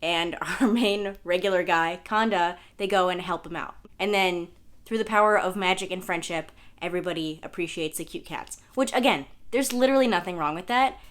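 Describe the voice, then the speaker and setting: A young woman, a bit hoarse from losing her voice, chatting casually at home to camera about what she has been reading, full of fillers and self-deprecating asides. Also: fairly low pitch, moderate pace. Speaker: manga vlogger. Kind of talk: casual review chat to camera. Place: indoors at home, sitting on the floor.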